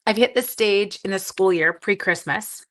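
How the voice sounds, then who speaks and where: A woman's voice: medium pitch, normal speed, a bit bright. A sleepy, groggy woman hosting a casual crafting live stream, chatting with viewers as she works and making playful little sound effects. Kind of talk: casual crafting demo with chat.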